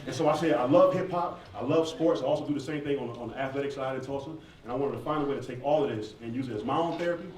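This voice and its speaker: Fast-talking American man in an echoey hall. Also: medium pitch, darkish tone. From southeastern United States, near Atlanta. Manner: candid, informal, slangy. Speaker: hip hop artist and former college athlete answering questions. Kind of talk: casual audience question-and-answer talk. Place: large echoey indoor room at a live event. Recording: echoey hall, distant mic, faint noise.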